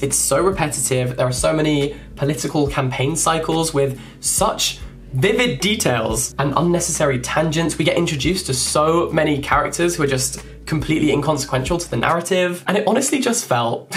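A young man in his twenties giving an animated, jokey book review vlog, filmed at home and on a night street. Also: a little high. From England. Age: 20s. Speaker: book vlogger. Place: bedroom, indoors; also night street outdoors.

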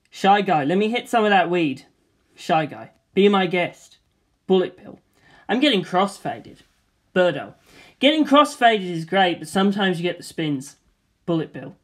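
A voice reading a screenplay aloud in a flat, deadpan way, stage directions and dialogue alike. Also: medium pitch, husky. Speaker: script reader.

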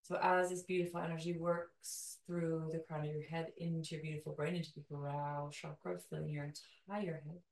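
A woman leading a guided meditation in a soothing, calming way, with slow, deliberate phrases and long pauses. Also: deep voice, vocal fry. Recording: roomy echo, distant from mic.

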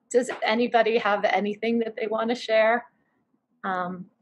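A woman's voice: a little high, steady tone, a little slow. A woman in her thirties facilitating a reflective group discussion over a video call. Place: living room, video call. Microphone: computer mic on video call.

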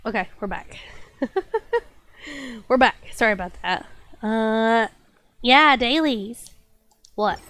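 High-pitched young woman streamer, congested and coughing, chirpy and full of fillers. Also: slow, long pauses, fairly bright tone, smooth. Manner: bubbly, apologetic, lots of fillers. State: stuffy nose and lingering cough. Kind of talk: casual chat with stream chat.

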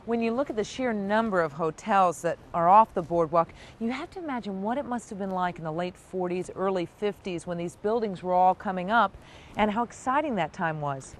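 A woman's voice: medium pitch, lively intonation, a little fast, slight background noise. A woman hosting a travel show, asking her guide questions in an inviting, conversational way. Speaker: host. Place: outdoors on a beach boardwalk, later a hotel lobby.

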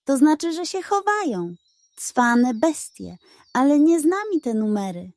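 A greedy little storybook pug, played by a woman storyteller, crafty and prone to whining. The pitch is raised and the delivery theatrical, in a dry recording. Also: very animated, a little slow, a little mellow, no room sound, heavily acted. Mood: sly, urging. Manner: scheming, then moaning and pleading. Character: sly greedy pug, whiny when overstuffed.

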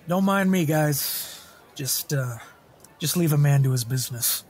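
A man's voice: fairly high pitch, lively intonation, unhurried, a little hiss or hum. Man playing an irritable, sardonic male traveler. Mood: grumbling, weary. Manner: grumbling, sardonic.

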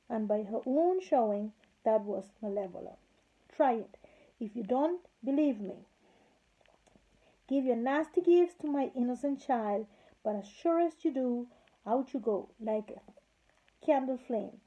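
A woman's voice: on the high side, warm tone. A woman reading as a firm, stubborn king. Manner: firm, lecturing. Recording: slightly muffled lo-fi recording.

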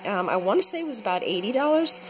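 A woman's voice: medium pitch, very animated, fast talker, background noise. A young woman casually explaining a home painting project while filming, chatty with many ums. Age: young adult. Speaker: vlogger renovating her home. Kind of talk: casual how-to narration. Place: house, indoors. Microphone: handheld camera.

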